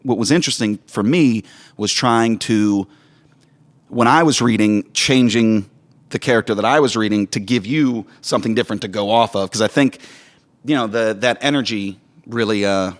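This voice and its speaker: A man with a slightly low voice, speaking as a director in a relaxed group chat. He explains things reflectively and conversationally, working through his thoughts as he goes. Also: moderate pace. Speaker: director with a theater background. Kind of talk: casual behind-the-scenes production chat.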